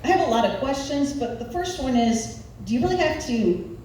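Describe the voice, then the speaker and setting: A woman's voice: high voice, animated, normal speed, a little mellow. Echoey noisy room, midwestern woman in her 40s doing standup, wry and self-deprecating, takes her time. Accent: Nebraska Midwestern American. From Nebraska, United States. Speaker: stand-up comedian. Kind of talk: live stand-up comedy routine. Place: live comedy venue. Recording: echoey room, distant mic, background noise.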